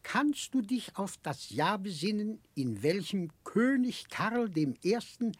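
An older, kindly eccentric with a muddled, childlike mind. His husky voice moves slowly and swings widely in pitch as he rambles and confides. It is classic 1960s radio drama, recorded close and dry. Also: big pitch swings, dry recording, exaggerated voice. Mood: earnest, puzzled. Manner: chuckling, halting, confiding, rambling.

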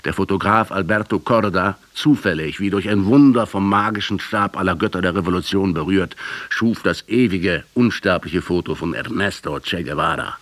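Bitter intellectual guy in a radio play, really low husky voice, sardonic speechifying. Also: moderate pace, a bit bright. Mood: solemn, rhetorical. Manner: eloquent, rhetorical, sardonic. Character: Navarro, bitter Argentine intellectual man confronting a dead revolutionary. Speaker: actor in a radio drama. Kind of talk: German radio drama acting.

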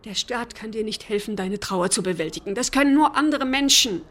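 A fairly high female voice as an earnest, wounded woman. Her delivery is breathy and husky, full of indignation and emotional reproach, in radio drama dialogue. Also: animated, fairly quick, a bit bright. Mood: reproachful, pleading.

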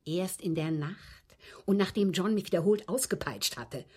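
An elderly, articulate widow in a radio play. Her voice is low and husky, swinging widely in pitch. She speaks formally and precisely, coolly confessing with haughty, dry scorn. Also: expressive, normal speed, darkish tone. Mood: indignant, precise. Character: proud, articulate old English widow, coolly confessing to police. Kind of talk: radio drama acting.

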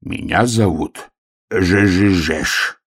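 A man puts on a little bear cub from a children's tale, gruff and full of self-importance. The low, husky voice weighs out each word with solemn, officious care, like a stern clerk. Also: expressive, no echo, clean studio sound, exaggerated voice. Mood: aloof, pompous. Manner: pompous, deliberate, solemn, gruff.

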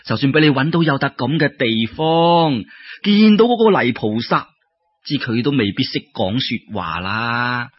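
A man's voice: mid-range voice, dry recording. A man voicing a nagging old wife who mocks her husband with drawling, exasperated, swooping emphasis. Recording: dull lo-fi radio-style recording.

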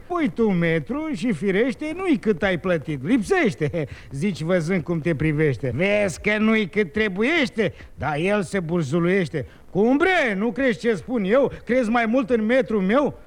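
An actor playing a wily, excitable Turkish folk trickster in a 1950s radio comedy, telling rhymed tales in an exclamatory sing-song manner. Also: male voice, high voice, few pauses. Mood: animated, mock indignant.

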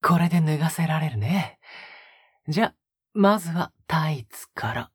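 Breathy teasing young guy played by a woman, possessive and fake sweet, voice swings around a lot, audio drama, close dry sound. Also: low-pitched, lively intonation, normal speed, no echo, exaggerated voice. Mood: coaxing. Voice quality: breathy, often dropping to a whisper. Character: soft-spoken, teasing, possessive young man, sweetly menacing. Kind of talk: intimate audio drama acting.

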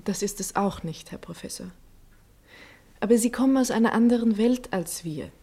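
A woman playing a shy, gentle nurse in a radio play, speaking softly and hesitantly. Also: medium pitch, normal speed, slightly rough. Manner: soft, hesitant, often hushed. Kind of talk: 1950s radio play acting.

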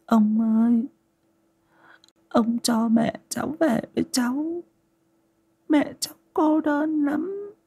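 A man's voice: extremely high pitch, slow pace, vocal fry, long pauses, warm tone, voice pushed up, full character voice. A man voicing a small sick boy who sobs and begs tearfully. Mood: sobbing. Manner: wailing, tearful.

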